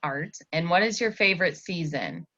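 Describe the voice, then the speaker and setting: A woman's voice: on the low side, big pitch swings, moderate pace. A woman teaching a child one-on-one over a video call, chatting casually and encouragingly and echoing back answers. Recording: narrow-band video call sound, faint noise.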